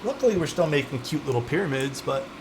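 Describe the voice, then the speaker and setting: A man's voice: on the high side, expressive, fast talker, noisy background. A young man with a Toronto Canadian accent, chatting casually with his livestream audience while soldering a keyboard. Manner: easygoing, chatty, answers chat while soldering.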